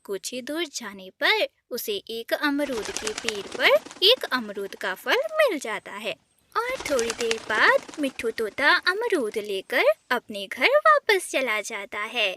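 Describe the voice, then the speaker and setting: A woman narrating a cartoon story in a soft, even storytelling manner. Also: a little high, slow, background noise.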